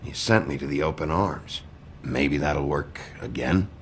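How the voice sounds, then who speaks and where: An actor playing a gruff, brooding sheriff in a video game, terse and halting, with a gravelly voice. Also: male voice, very deep voice, a little hiss or hum. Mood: probing. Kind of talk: video game dialogue acting.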